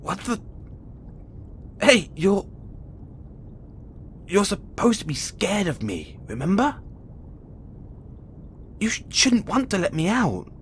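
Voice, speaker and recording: Casting: male actor as playful, flirtatious imprisoned experiment with imperial swagger, for audio roleplay. Swooping pitch, unhurried halting delivery, rebellious streak. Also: big pitch swings, fairly bright tone, a little hiss or hum, full character voice. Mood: startled. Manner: teasing, flirtatious, halting, with sighs and chuckles. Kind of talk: audio roleplay acting addressed to the listener.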